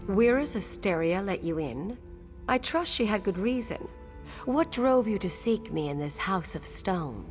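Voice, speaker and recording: A woman playing a stern, mysterious witch healer in a fantasy game, speaking in a commanding, formal, deliberate way. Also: medium pitch, animated, over music, close to an everyday voice. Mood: stern, assured. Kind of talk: video game character acting.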